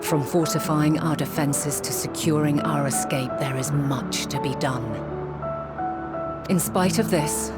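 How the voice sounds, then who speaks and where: A wise ancient woman leader in a fantasy game, with a low voice over prominent music. Her delivery is measured and solemn, with formal, archaic diction and a resolute core. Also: fairly even intonation. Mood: grave, resolute. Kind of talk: dramatic scripted game acting.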